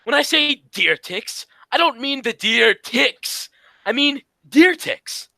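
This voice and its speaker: A man's voice: very high-pitched, animated, takes it slowly, gravelly, bright, forceful tone, no room sound, full character voice. A man playing the exasperated, scolding straight man of an old radio comedy duo, in a 1940s radio acting style. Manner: scolding, insistent.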